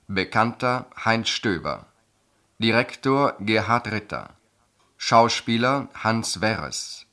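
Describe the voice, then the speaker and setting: A male radio announcer with a low, bright and forceful voice. He reads formal scripted announcements very slowly and in a measured way.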